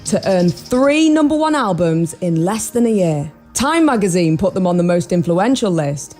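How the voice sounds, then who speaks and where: A woman's voice: lowish voice, animated, normal speed, darkish tone. A woman radio host narrating a feature and asking chatty, self-deprecating interview questions. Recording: music bed underneath.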